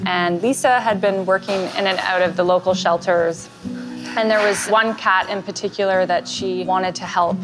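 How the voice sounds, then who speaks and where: A woman's voice: medium pitch, fairly even intonation, moderate pace, low-quality recording. Friendly Canadian woman telling a story. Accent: Canadian English. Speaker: animal rescue co-founder. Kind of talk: fundraising appeal narration. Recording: music bed under speech.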